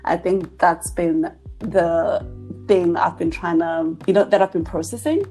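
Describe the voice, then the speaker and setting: Reflective young woman from Zimbabwe, low voice. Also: steady tone, a little slow, mellow, soft background music. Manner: reflective, thinking aloud with fillers. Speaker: podcast co-host. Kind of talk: casual chat.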